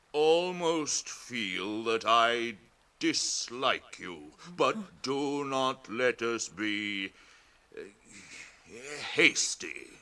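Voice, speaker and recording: Ancient tree creature, super slow n ponderous, hums and muses, forceful bright edge, radio drama. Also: male voice, medium pitch, exaggerated voice. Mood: wary, curious. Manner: ponderous, deliberate, humming and musing.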